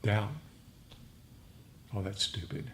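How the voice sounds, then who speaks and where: A man with a low voice gives a reflective meditation talk. His phrasing is unhurried and circles back on itself. The live recording carries some background noise. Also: steady tone, fairly bright tone. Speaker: meditation teacher. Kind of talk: reflective dharma talk and group discussion. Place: group meditation meeting. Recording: noisy live room recording.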